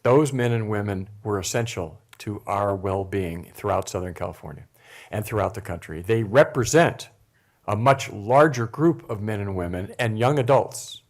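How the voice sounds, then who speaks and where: A man's voice: lowish voice, expressive, a little slow. An older man gives a formal, emphatic press conference speech as event organizer.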